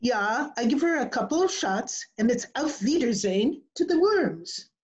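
A woman's voice: fairly high pitch, big pitch swings, moderate pace. A woman playing a cheerful, matter-of-fact vet in old-time radio comedy style over a video call. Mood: breezy. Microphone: video call computer mic. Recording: slightly dull video-call audio.

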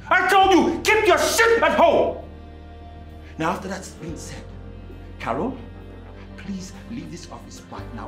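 A man's voice: a little high, reverberant, natural voice. A man playing a stern scolding boss. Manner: commanding, scolding. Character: stern angry company boss scolding a couple.

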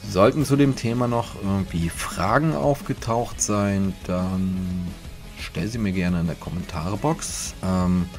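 Guy with a really low voice doing a laid back software walkthrough, bit hesitant, step by step. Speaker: YouTube tutorial host. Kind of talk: casual software tutorial voice-over.

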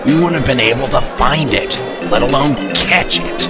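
A man's voice: medium pitch, lively intonation, normal speed, close to an everyday voice. A man playing a sensible, supportive hunter in an amateur cartoon audio drama. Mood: earnest. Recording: music laid under the voice.

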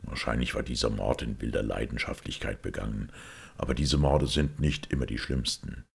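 A man voicing a calm, shrewd priest detective who explains a crime gravely in a gravelly voice, in an audiobook. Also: a bass voice, normal speed, full character voice. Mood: grave explaining.

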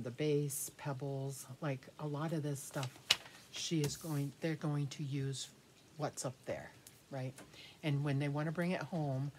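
American woman, fifties, low voice, casual craft vlogger, rambling and thinking aloud, noticeable background noise. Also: mellow, low-quality recording. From United States. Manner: informal, thinking aloud, trailing off mid-sentence. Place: small cluttered indoor craft room. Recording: clear room noise, handling clicks.

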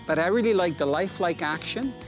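A man's voice: high-pitched, quick pace, over music. A man in his sixties hosting a fishing show, explaining technique from a kayak on a lake. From Canada. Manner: relaxed and explanatory, easily excited by a catch. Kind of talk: casual outdoor fishing commentary.

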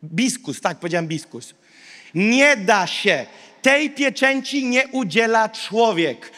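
High-pitched male preacher in a reverberant hall. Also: lively intonation, sharp tone. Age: 30s. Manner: emphatic, declarative, short repeated phrases with dramatic pauses. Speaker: pastor preaching. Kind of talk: sermon to a large live congregation. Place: large indoor auditorium stage. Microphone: handheld microphone. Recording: big reverberant hall PA sound.

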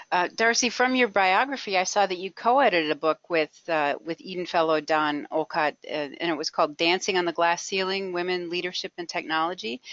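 A woman's voice: on the low side, fairly bright tone, no echo. A woman interviewer putting questions in a conversational way over a call. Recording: dull, band-limited call audio.

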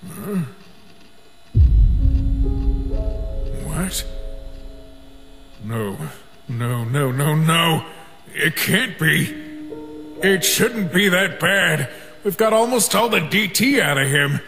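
A man voicing an easygoing, laconic skeleton scientist in an animated comic dub. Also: on the high side, slow pace, gravelly, long pauses, exaggerated voice. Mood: alarmed. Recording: long reverb, prominent music.

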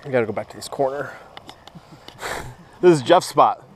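A man talks in an easygoing, halting way at a medium pitch. He is chatting casually outdoors on an action camera, with faint nature noise behind him. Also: expressive. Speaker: angler filming a fishing outing. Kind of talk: casual chat while fishing. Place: outdoors on a grassy pond bank. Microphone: body-worn action camera near the speaker.

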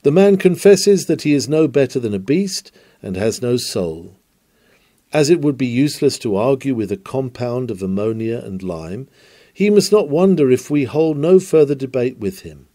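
A man reads a nineteenth-century sermon aloud with the fervor of a pulpit preacher. His voice is mellow and darkly toned, sitting at an ordinary pitch. He drives hard at the exclamations and rhetorical questions. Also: big pitch swings, moderate pace. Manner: declamatory, rising emphasis on exclamations. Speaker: reader of a sermon. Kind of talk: dramatic sermon reading.

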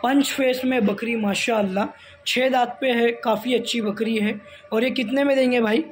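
This is an Indian man with a strikingly high voice for a male. He talks like an eager promoter, casual and enthusiastic, often repeating things. Some noise sits behind him on the recording. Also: fairly even intonation, normal speed. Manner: enthusiastic, repetitive, echoes what the seller says. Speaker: vlog host and promoter presenting livestock for sale. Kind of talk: casual sales presentation and interviewing. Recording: handheld phone video with background noise.

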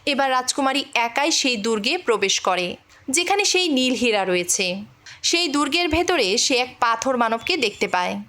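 High-pitched woman narrating a story. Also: lively intonation, moderate pace, bright, forceful tone. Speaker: movie recap narrator. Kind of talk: scripted story narration of a film plot.

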